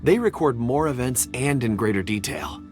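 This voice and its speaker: Earnest young man played by a male actor, video game, courteous, hesitant, animated intonation. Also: mid-range voice, normal speed, music in the background, natural voice. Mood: earnest explaining. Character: polite, earnest young man who reads ore memories.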